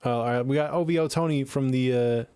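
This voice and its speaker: A man's voice: medium pitch, quick pace, dark tone, clean tone, no echo, clean studio sound. A man hosting a music review livestream in casual, slangy chat with frequent swearing.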